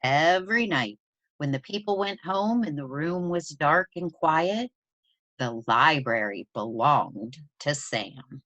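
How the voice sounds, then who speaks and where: A woman reading a children's story aloud in an expressive storytelling style, with deliberate, emphatic phrasing. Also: deep voice, no echo, muffled recording. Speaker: presenter reading a children's picture book aloud.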